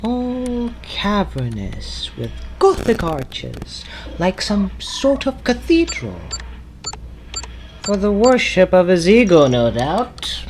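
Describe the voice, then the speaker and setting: A woman's voice: mid-range voice, big pitch swings, slow pace, a little mellow, a little hiss or hum, low-quality recording. A woman playing an arch, sardonic renegade time-traveller in an audio drama, with a mocking, drawling, theatrical manner. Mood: sardonic, teasing.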